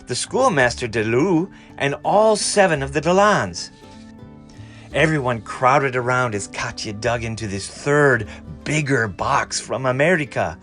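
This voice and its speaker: A man reading a storybook aloud in an expressive, dramatic and deliberate way. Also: mid-range voice. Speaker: story reader.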